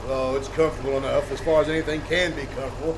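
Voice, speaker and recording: A man's voice: medium pitch, fairly even intonation, racing, lightly acted. A man playing a pompous, wealthy, complaining passenger in a radio comedy, haughty and huffy with stammers. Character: pompous, grumbling, self-important wealthy male bus passenger. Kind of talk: old-time radio comedy acting. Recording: noisy live theater recording.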